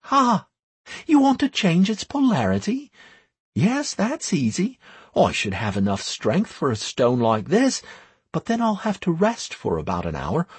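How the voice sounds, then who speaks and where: Man voicing a high-pitched, questioning young-sounding priestess. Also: wildly swooping pitch, unhurried, breathy edge, no echo, full character voice. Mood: confident, puzzled. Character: former high priestess, adult woman, bewildered, questioning.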